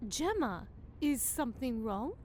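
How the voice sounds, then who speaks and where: A woman's voice: a little high, very animated, a little slow, darkish tone. A woman playing a haughty, critical traditional fairy lady in a comedy audio drama, with snobbish, theatrical disdain. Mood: prim, critical.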